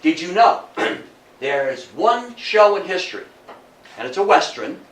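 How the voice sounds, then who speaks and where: A man's voice: sits high, big pitch swings, unhurried. Older man lecturing, echoey and distant. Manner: folksy, emphatic, repeats himself. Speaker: guest speaker, old-time radio hobbyist. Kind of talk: informal public lecture. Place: room at a local society talk. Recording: distant echoey room, faint noise.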